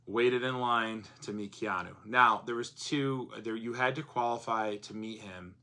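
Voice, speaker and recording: A man with a hoarse voice chatting casually in a vlog, rambling with plenty of fillers. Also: mid-range voice, unhurried, sharp tone. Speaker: comics vlogger.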